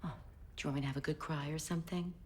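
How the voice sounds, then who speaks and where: An actress playing a worldly, unruffled wife, sardonic and frank, in a period drama. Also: female voice, deep voice, steady tone, very fast, slight background noise, lightly acted. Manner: wry, frank. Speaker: actor.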